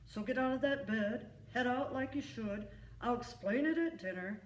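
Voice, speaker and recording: A woman voicing a stern, hurried storybook doozer father with a firm, lecturing manner. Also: a little high, a little hiss or hum, natural voice. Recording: echoey room, distant from the mic.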